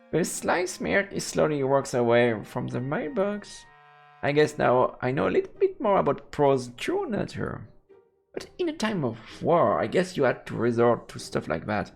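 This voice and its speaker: A man doing playful let's play commentary, reading a visual novel aloud and throwing in silly exclamations. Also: creaky. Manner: playful, breaks into silly high-pitched exclamations. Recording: game music behind the voice.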